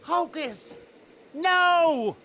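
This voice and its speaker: Man playing an anguished, creaky-voiced professor father. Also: very high voice, lively intonation, pushed and bright, slight background noise, full character voice. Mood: desperate, struggling. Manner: anguished, halting, pleading. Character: desperate middle-aged professor and father, frightened and guilt-ridden. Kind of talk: audio drama acting.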